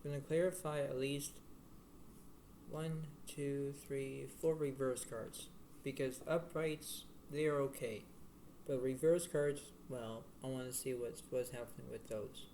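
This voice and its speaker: A man giving a casual tarot reading to the viewer in a halting, repetitive, rambling way. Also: fairly even intonation, warm tone. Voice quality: low-volume voice. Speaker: tarot card reader. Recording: noisy homemade recording with room ambience.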